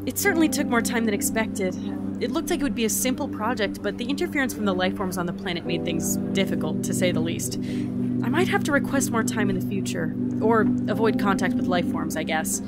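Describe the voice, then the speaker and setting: A woman playing an awkward, excitable otherworldly entity in an audio drama, hesitant and easily flustered. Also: mid-range voice, fairly quick, loud music behind the voice, lightly acted. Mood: hesitant reporting.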